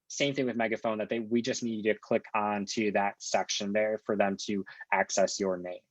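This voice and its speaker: A man working as a software trainer, talking through an on-screen walkthrough in an informal, explanatory and reassuring way. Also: medium pitch, no echo, muffled recording.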